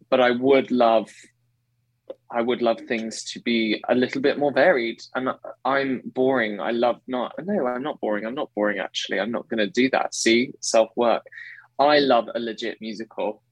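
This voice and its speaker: A man in his thirties from England with a baritone voice, a guest talking earnestly about his training, often correcting himself mid-sentence. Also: steady tone, normal speed, no echo, lo-fi sound. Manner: earnest, self-correcting, effusive. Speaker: guest, actor and singer. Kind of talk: conversational interview.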